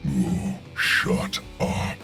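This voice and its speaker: A man voicing a huge, menacing, weary outlaw bodyguard in a video game, gravelly, terse and grumbling. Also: drawn-out words, bright, forceful tone, faint music behind. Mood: irritated, fed up. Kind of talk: video game character voice acting.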